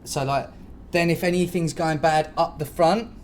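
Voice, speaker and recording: A man hosting a casual podcast, telling stories in a slangy, sweary, animated way. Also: a little high, moderate pace, slight background noise. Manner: animated storyteller, sweary, slangy, mimics noises and dialogue. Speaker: podcast host.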